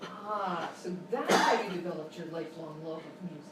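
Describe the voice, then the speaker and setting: An actress playing a polite, earnest public-radio talk show host, formal and deadpan, in a parody interview. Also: female voice, fairly low pitch, normal speed, lightly acted. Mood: polite, puzzled. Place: live show in a hotel room. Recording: echoey live stage, distant mic, noisy.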